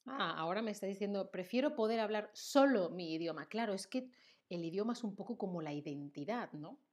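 A woman's voice: medium pitch, animated, darkish tone. A young woman from Seville with an Andalusian Spanish accent, hosting a playful live-stream Spanish lesson, chatting with viewers and laughing often. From Seville, southern Spain. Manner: playful, chatty, laughs often, reads chat names aloud; lists words slowly with hesitant fillers.

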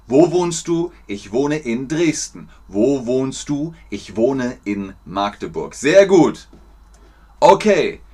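A man teaching German in a live online lesson, encouraging and clearly articulated. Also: mid-range voice.

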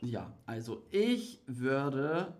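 A man in his thirties from England co-hosts a quiz in a language that is not his first. He talks slowly and unhurriedly, with hesitant, giggly asides. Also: mid-range voice, big pitch swings, very noisy. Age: 30s. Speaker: streamer and quiz co-host. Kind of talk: casual chat.

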